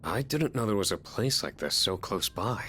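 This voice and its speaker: Deep-voiced, action-ready man. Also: animated, normal speed, a bit bright, slight background noise. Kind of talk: video game voice acting.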